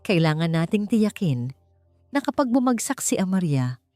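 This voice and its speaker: Lady reading an old tycoon guy, calm and measured, low voice, music faintly behind, audio story. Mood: grave, calculating. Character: calm, calculating old male business tycoon.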